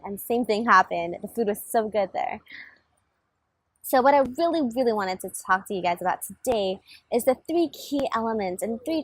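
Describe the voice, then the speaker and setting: A woman's voice: mid-range voice, slightly rough, dry recording, low-quality recording. A young woman giving a casual talk as a coach and summit host, chatty, with many fillers and restarts. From grew up between Germany, Taiwan, Shanghai, Hong Kong and California.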